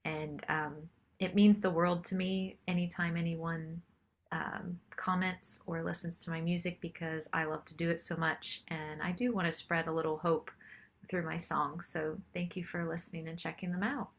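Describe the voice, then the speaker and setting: A woman's voice: fairly low pitch, level tone, moderate pace. A woman singer-songwriter chatting casually as an interview guest.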